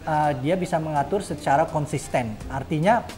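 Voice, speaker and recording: A man's voice: a little high, normal speed, a little mellow, faint music behind. A middle-aged man, a chef hosting his cooking show, explaining a technique in casual chat, full of "eh" fillers and tag questions.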